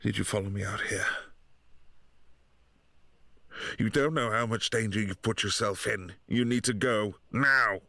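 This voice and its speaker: A man playing a brooding, possessive vampire prince in a boyfriend roleplay, speaking low and hushed and sometimes dropping to a whisper. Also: moderate pace, vocal fry, no echo. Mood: alarmed.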